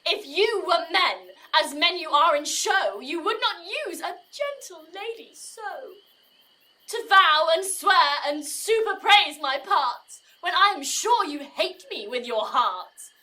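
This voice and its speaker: An actress playing a wounded, indignant young maiden in a Shakespearean audio drama, declaiming verse in a theatrical, aggrieved way. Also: female voice, very high-pitched, lively intonation, slow pace, pushed and bright, a little hiss or hum, heavily acted, rough recording. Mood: wounded indignation.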